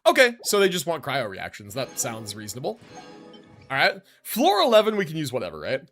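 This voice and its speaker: An American man chatting casually on a live game stream in an animated, slangy, joking way. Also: mid-range voice, brisk, soft background music.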